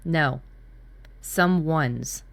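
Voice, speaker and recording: Lower-pitched woman chatting informally. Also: extremely slow, vocal fry. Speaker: writing workshop co-host. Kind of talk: casual workshop chat, recounting own brainstorm.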